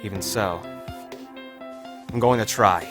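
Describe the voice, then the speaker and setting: Man acting blunt, earnest high school boy. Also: measured pace, fairly bright tone, faint music behind. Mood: earnest, frank. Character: blunt but caring male high school student. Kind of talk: amateur anime fan dub acting.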